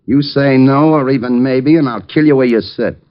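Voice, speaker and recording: An actor playing a sneering, threatening American gangster who talks in rough street slang, in old radio crime drama style. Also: male voice, mid-range voice, moderate pace, natural voice. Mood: cold threat. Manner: tough street slang, bad grammar, threatening. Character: menacing, sneering male gangster with a gun. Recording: muffled old radio recording.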